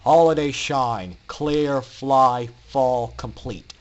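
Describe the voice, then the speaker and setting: A stern pony flight instructor, acted by a man in a dramatic storybook reading. He barks loud, clipped commands with a cold, menacing authority. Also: mid-range voice, takes it slowly, highs cut off, close to an everyday voice. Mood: cold, commanding. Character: stern, callous male flight instructor pony barking orders.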